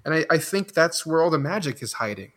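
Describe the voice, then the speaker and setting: A man's voice: a little high, very animated, fast talker, fairly bright tone. A man in his thirties, from Belgium with French as his first language, reflecting at length as a podcast guest, with many restarts. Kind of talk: casual podcast conversation.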